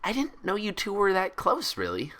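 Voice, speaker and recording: A man's voice: high-pitched, huge pitch swings, normal speed, a bit bright, voice pushed up, heavily acted. A man voicing a hyper, cheerful, gullible young female cartoon pony in bubbly, rambling, childlike chatter. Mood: chatty.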